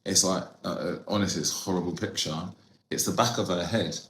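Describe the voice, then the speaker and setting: Deep-voiced podcast host. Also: male voice, fairly quick, roomy sound. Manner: relaxed, teasing, trails off. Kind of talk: casual interview. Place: podcast studio.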